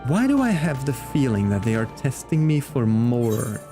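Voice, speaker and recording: A male streamer with a mid-pitched voice that moves around with lively intonation. He talks casually and playfully to his viewers while commenting on the game he plays. Music plays clearly behind him. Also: moderate pace.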